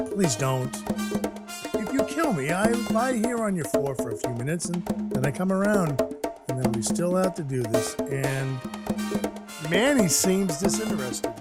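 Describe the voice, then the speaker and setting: Wry, deadpan dwarf enforcer, acted by a man over music. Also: fairly high pitch, measured pace. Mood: sardonic. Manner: wry, deadpan, mock-polite storytelling. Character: gruff, sardonic male dwarf enforcer for Santa. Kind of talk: first-person audio drama narration with dialogue. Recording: music behind the voice.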